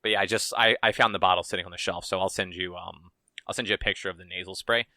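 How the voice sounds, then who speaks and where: A man's voice: low-pitched, steady tone, quick pace, sharp tone, dry recording. A man co-hosting a podcast, talking in a laid-back, rambling way and swearing casually. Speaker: podcast co-host. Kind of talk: casual chat.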